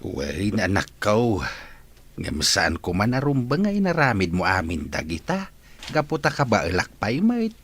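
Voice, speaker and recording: A man playing a gentle, grateful elderly father in a radio drama, speaking with an Ilocano accent and an unhurried, reassuring manner. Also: lowish voice, wildly swooping pitch, a little hiss or hum, exaggerated voice.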